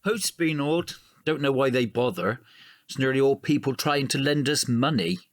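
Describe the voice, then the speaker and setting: A man plays a middle-aged father in an audio drama, weary yet resolute. His phrasing is terse and firm, with a widely moving pitch. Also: lively intonation, normal speed, lightly acted.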